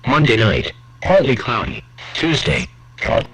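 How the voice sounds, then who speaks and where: A man's voice: medium pitch, huge pitch swings, a little slow, a loud crowd, low-quality recording. A man reading a formal, scripted emergency alert as a broadcast announcer.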